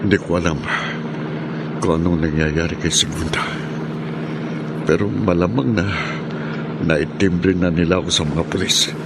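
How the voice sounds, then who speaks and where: A man's voice: low-pitched, unhurried, long pauses. A man playing a defiant, taunting villain on the run in a Filipino radio drama. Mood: wary, defiant. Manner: gloating, taunting. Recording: loud car and traffic noise.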